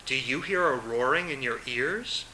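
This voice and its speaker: A man's voice: mid-range voice, animated, moderate pace, heavy background noise, lightly acted. A man voicing a small female mouse in a storybook tale, curious and timid yet determined, with a questioning manner.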